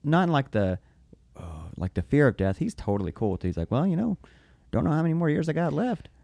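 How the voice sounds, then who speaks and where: A man's voice: fairly high pitch, big pitch swings, fast talker, creaky, warm tone, no room sound, lo-fi sound. A man chatting casually on a podcast, agreeing and chipping in conversationally.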